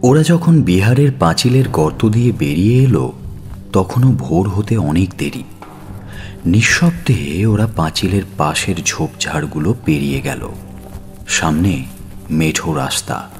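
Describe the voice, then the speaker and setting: A man narrating a dramatic audio story in a theatrical manner, with suspenseful pauses between phrases. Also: deep voice, animated, a little mellow. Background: background music.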